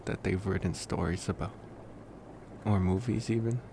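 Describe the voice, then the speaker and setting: A man playing a reflective, gentle young college vampire in an ASMR roleplay, musing softly and intimately to the listener. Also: low voice, gravelly. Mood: curious, musing. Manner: musing, rambling, with pauses and sighs. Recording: noticeable steady background noise.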